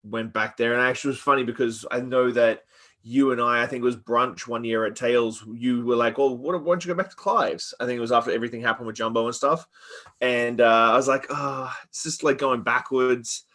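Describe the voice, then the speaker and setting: A man's voice: mid-range voice, steady tone, brisk, pushed and bright. A man who grew up in Australia, hosting a podcast in casual chat and rambling with many fillers and restarts. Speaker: podcast host. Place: Victoria, Canada.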